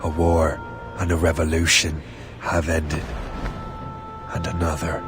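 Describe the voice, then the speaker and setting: An actor playing a grave, resolute older in a video game, speaking in a solemn, measured way. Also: male voice, rumbling low, monotone, music in the background. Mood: solemn resolve. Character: grave, world-weary older Templar man, coldly resolute. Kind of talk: solemn scripted game narration.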